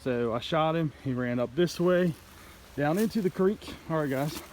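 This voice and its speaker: A man telling a story casually in a halting, rambling way, like an outdoor vlog host talking to the camera. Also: on the high side, darkish tone. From United States. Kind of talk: casual play-by-play hunting storytelling. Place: outdoors in woods by a creek. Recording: noisy outdoor ambience.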